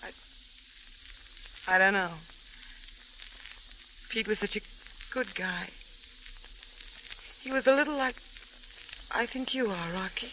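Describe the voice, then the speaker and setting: An actress playing a bitter, wounded young American wife, hesitant and brittle, in 1940s radio melodrama style. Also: female voice, medium pitch, takes it slowly, pauses often, close to an everyday voice. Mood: wistful. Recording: faintly noisy old radio recording.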